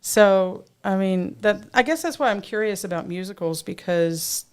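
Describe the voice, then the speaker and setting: An older woman hosting a casual talk show, chatty and quick to laugh. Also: mid-range voice, smooth. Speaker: host.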